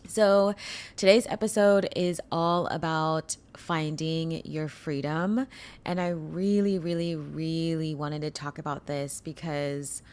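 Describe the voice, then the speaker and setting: A woman hosting her own podcast as a spiritual coach, talking casually and enthusiastically with a hoarse, froggy voice from allergies. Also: low voice, fairly even intonation, slow, a little mellow. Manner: enthusiastic, chatty, with casual swearing. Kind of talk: casual solo podcast talk.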